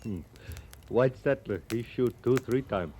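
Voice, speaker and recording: An actor playing a cheerful, guileless Crow scout and horse thief in a 1950s radio western, speaking halting broken English and calling himself by name. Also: male voice, mid-range voice, a little mellow, lightly acted. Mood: matter-of-fact. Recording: old radio recording with background noise.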